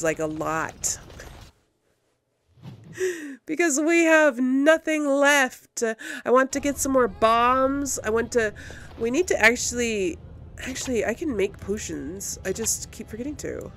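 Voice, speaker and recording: A woman's voice: fairly high pitch, expressive, measured pace, a bit bright. A woman hosting a video game playthrough, chatting informally and thinking aloud as she plays. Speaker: gaming host. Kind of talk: casual let's play commentary. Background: game music.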